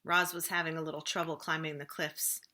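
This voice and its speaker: A woman reading a children's storybook aloud expressively, with deliberate dramatic emphasis. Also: deep voice, little pitch movement, bright, forceful tone.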